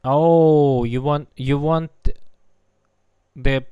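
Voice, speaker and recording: A man's voice: fairly high pitch, steady tone, very slow, clear, resonant tone, dry recording, phone-quality sound. A man in his thirties streaming game development from a home desk, chatting casually and hesitantly with many fillers. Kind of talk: casual live coding chat.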